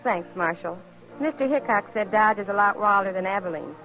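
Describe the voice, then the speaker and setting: A woman's voice: mid-range voice, animated, a little fast, highs cut off, close to an everyday voice. Actress doing young western saloon girl, friendly n polite, mid voice, old radio play, bit of music. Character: friendly young saloon newcomer, drifting westward. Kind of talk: 1950s Western radio drama acting. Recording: vintage radio drama recording.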